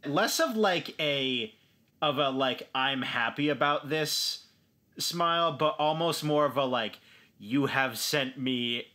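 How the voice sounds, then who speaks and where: A man talks through his character during a tabletop game. His voice is bright and forceful, mid-pitched, and his delivery is slow and halting as he searches for words. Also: animated. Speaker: player in a tabletop role-playing game. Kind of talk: improvised description of a character in actual play.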